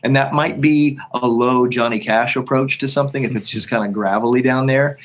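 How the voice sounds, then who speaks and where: A man's voice: mid-range voice, fairly even intonation, brisk. A man who writes and leads worship songs, talking in a podcast conversation, thinking aloud with frequent restarts and humming bits of melody. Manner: thinks aloud with many restarts, sings snippets to illustrate. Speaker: worship songwriter and leader.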